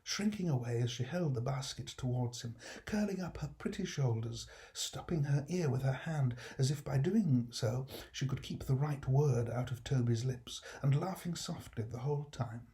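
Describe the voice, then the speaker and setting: A man with a British accent gives an expressive, scripted storybook narration as an actor. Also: mid-range voice, normal speed, few pauses. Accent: British English.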